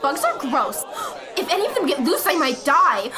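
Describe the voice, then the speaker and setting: Squeamish, complaining teen diva, young female actor: high, exaggerated, animated, crowd behind. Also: moderate pace. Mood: disgusted, whiny. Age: teens. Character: whiny, squeamish, dramatic teenage girl. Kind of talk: amateur audio drama acting.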